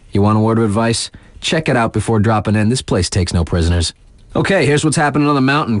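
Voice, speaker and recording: A man's voice: medium pitch, no echo, natural voice. An actor playing a laid-back, wry male radio DJ in a video game, talking in casual slang to hype up his listeners.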